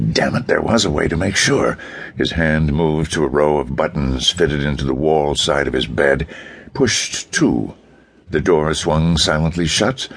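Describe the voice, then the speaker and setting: Very deep, husky male audiobook narrator. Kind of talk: dramatic scripted pulp fiction narration.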